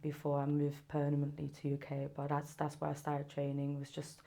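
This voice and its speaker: Low-voiced Polish woman, laid-back. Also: a little fast, gravelly. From Poland. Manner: relaxed, laughs often, trails off mid-sentence. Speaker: Muay Thai fighter, guest. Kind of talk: casual interview chat. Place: studio.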